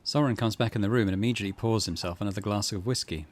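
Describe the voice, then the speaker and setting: A man's voice: fairly low pitch, racing. A man hosting a gaming channel, giving conversational, musing let's play commentary and reading game text aloud.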